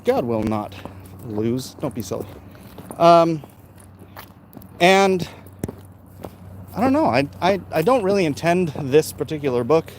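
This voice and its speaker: A man in his forties chatting casually and haltingly as a livestream host while walking outside, talking into a handheld phone. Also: on the high side, a little mellow. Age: 40s. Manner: rambling, halting, breaking off to talk to people with him. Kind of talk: casual livestream chat while walking outdoors, reading viewer comments aloud. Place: outdoors, walking along a street. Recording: outdoor street noise on phone.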